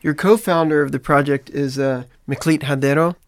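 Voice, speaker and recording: A man's voice: on the high side, fairly even intonation, normal speed, dry recording, studio quality. A man interviewing a guest, asking brief questions and giving short, encouraging replies.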